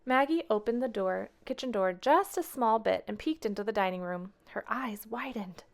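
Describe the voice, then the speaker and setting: Expressive woman reading a children's story. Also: a little high, moderate pace. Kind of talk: children's story read-aloud.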